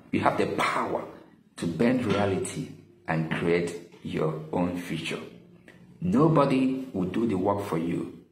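Male vlogger, earnest and deliberate adviser, lower register, recorded in a reverberant room with faint noise. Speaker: vlogger and motivational study-abroad adviser. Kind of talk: advice talk to camera. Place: small indoor room with window blinds. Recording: echoey room with some background noise.

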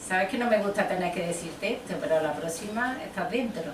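A middle-aged woman playing a counselor delivering bad news gently. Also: mid-range voice, lively intonation, fairly quick, echoey room, distant mic, a little hiss or hum, natural voice. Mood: gentle. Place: office.

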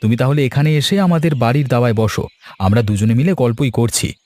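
A man's voice: mid-range voice, a little fast, a little mellow, dry recording, lightly acted. A man voicing a helpful, kindly young village fisherman in a cartoon story. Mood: friendly. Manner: reassuring, friendly. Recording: music bed behind.

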